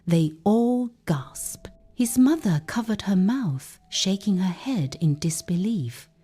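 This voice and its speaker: A woman narrating a folktale as a storyteller, with deliberate, emphatic and dramatic phrasing. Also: mid-range voice, expressive, warm tone, husky.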